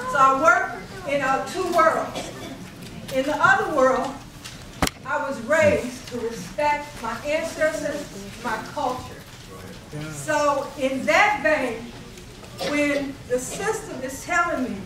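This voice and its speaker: A woman with a high voice speaks earnestly to a community gathering, pleading and laughing easily as she rallies people. She is picked up from across an echoey hall, with the murmur of the audience behind her. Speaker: library branch manager. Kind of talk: community address. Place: community event in a library hall. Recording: echoey room from far off with crowd.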